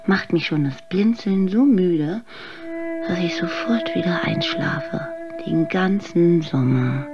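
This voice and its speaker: A woman's voice: deep voice, lo-fi sound, full character voice. An actress playing a bitter, exhausted mother and tavern waitress in a radio play, weary and sarcastic. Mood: exhausted, dreamy. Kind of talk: radio play acting, dramatic monologue.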